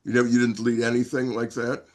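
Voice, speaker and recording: Man, defense counsel, average-pitched, wordy and halting courtroom questioner. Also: flat delivery, a little mellow. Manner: halting, repetitive, wordy phrasing. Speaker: defense attorney. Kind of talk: courtroom cross-questioning and argument.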